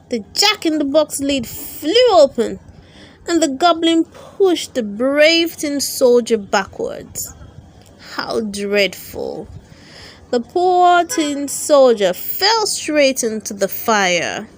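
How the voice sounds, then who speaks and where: A woman reads a children's bedtime story aloud in a fairly high voice. Her pitch moves around in a lively way, and she takes her time, adding dramatic emphasis as she narrates. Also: slow pace. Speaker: storybook reader and channel host.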